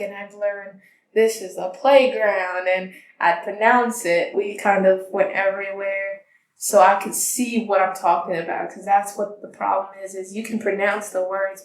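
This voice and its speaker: A young woman telling personal stories casually and conversationally, as an interviewee. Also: medium pitch. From born in Tanzania, grew up in the United States. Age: young adult. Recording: roomy, echoing interview recording.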